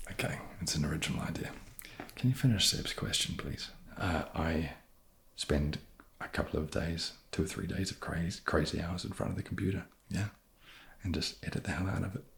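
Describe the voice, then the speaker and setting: A man chatting softly in an ASMR question-and-answer style, with a low gravelly voice and a hesitant, dry, self-deprecating manner. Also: slight background noise. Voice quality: low, gravelly, soft-spoken. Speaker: ASMR video creator and host. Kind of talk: soft-spoken ASMR question-and-answer chat.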